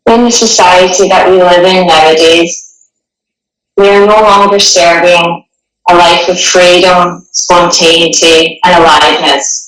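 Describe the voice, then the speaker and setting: A woman guiding a meditation in a gentle, soothing, unhurried way, with long pauses between phrases. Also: lowish voice, fairly even intonation. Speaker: meditation guide. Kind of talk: guided meditation, partly reading a passage. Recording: echoey room, distant microphone.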